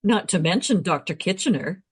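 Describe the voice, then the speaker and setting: A woman's voice: on the low side, lively intonation, normal speed, husky. An actress playing a brash, irreverent woman time traveller with a blunt, sardonic manner in a radio play. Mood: teasing.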